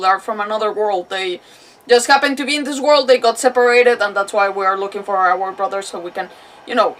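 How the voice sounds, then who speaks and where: A woman's voice: medium pitch, a bit bright. A woman livestreaming a game with casual, rambling, playful commentary and mild swearing, on a slightly distant microphone. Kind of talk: casual live gaming commentary.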